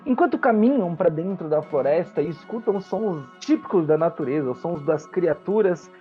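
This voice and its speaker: A man with a Brazilian accent and a slightly high voice narrates a tabletop fantasy game as its game master. He describes the scene in a conversational way. The audio is a little dull, with soft music behind him. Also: lively intonation, moderate pace. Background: background music.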